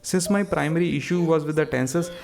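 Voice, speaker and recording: Male tutor, Indian accent, slightly high pitch, instructional delivery mixing in Hindi, music underneath. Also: fairly quick, gravelly. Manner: switches between English and Hindi. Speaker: English exam tutor and channel host. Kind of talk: instructional explanation with model answers read aloud.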